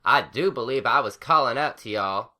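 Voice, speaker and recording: A man voicing a blunt, hot-tempered young farm mare, talking loud and brash. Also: medium pitch, moderate pace, voice pushed up.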